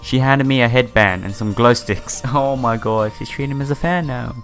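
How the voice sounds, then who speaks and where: A man's voice: medium pitch, normal speed, a bit bright. Casual man with music behind him. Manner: stumbles over read lines, sympathetic asides. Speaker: host of a game playthrough. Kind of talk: casual let's play, reading visual novel text aloud with asides.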